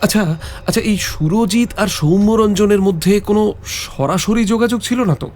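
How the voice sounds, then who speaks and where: An actor playing a defiant, sharp-minded young prisoner in an audio drama, speaking with deliberate resolve. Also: male voice, sits high, soft background music, lightly acted. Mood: intent, analytical. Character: defiant, sharp-minded young man held in police lockup. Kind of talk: audio story acting.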